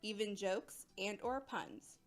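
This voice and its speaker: A woman's voice: medium pitch, expressive, faint music behind. Cheery, teasing woman botany teacher played by an actress. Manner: brisk mock-stern teasing. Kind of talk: audio drama acting.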